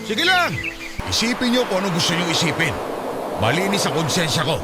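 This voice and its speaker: A middle-aged father in an audio drama, cornered and indignant. His pitch swings widely and he talks fast, insisting and evading over loud music. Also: huge pitch swings. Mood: indignant, defensive. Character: defensive, evasive middle-aged father hiding an affair. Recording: loud music bed under dialogue.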